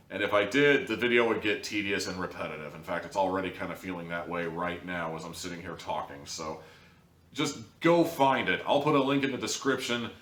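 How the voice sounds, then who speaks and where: A man's voice: mid-range voice, bright, forceful tone. A man giving a casual, opinionated review to his audience, conversational and emphatic, with wry asides. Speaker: audio drama reviewer, show host. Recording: roomy, distant microphone.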